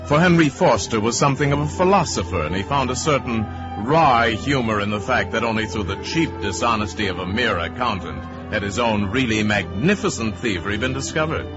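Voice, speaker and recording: A man playing the wry, measured, theatrical narrator of a radio crime drama. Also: lowish voice, expressive, natural voice. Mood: wry, ominous. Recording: dull radio recording with music.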